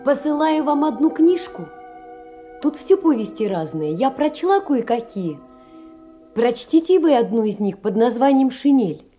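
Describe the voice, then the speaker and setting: A high-voiced, tender young woman. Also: big pitch swings, unhurried, mellow, heavily acted. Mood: gentle. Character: tender, girlish young woman writing to a friend. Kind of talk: theatrical audio drama, letter reading. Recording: faint music under the voice. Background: music.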